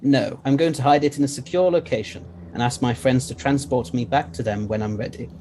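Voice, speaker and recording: A man playing an eccentric, confident time-travelling gentleman scientist in an audio drama, courteous and explanatory, with old-fashioned phrasing. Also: medium pitch, a little fast, slight background noise, natural voice.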